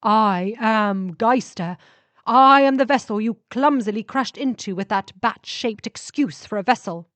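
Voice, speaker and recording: A woman voicing a needy, menacing male sentient spaceship in an audio story, speaking in slow, emphatic, drawn-out phrases. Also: on the high side, a bit bright, no echo. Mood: indignant.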